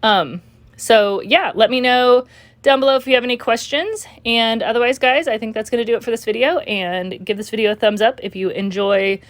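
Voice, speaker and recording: A woman's voice: a little high, moderate pace. A young woman vlogging her shopping with a chatty, filler-heavy voice that is a little congested from a cold. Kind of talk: casual screen-recording walkthrough.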